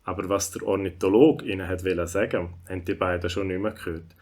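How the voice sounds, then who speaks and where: A man's voice: low voice, fairly even intonation, fast talker, darkish tone, breathy edge. A man with a Swiss German accent narrating a scripted children's audio story.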